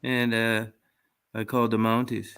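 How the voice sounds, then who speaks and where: A man from Canada hosting a call-in radio show, blunt and deadpan with crude jokes. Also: medium pitch, moderate pace, smooth. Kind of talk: casual call-in radio chat.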